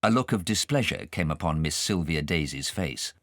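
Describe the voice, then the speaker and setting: A man's voice: sits low, lively intonation, normal speed, a bit bright, no room sound, studio quality. A man reading a children's story as scripted audiobook narration.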